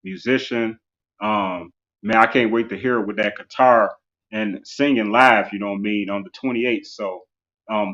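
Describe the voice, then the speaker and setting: A man's voice: lowish voice, a bit bright, dull audio. A man in his forties hosting an interview show, closing it out in a loose, rambling way full of "you know" and "um.". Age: 40s. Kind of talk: casual live-stream show closing.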